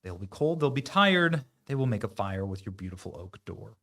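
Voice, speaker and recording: A man's voice: on the low side, sing-song, moderate pace, creaky, fairly bright tone. A man hosting online political commentary, talking in a mocking, sarcastic and emphatic way.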